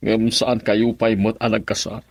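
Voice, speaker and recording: An actor with a Filipino accent playing a gentle, longing father coaxing his daughter in a radio drama. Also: lowish voice, flat delivery, a little slow, dry recording. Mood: tender, pleading.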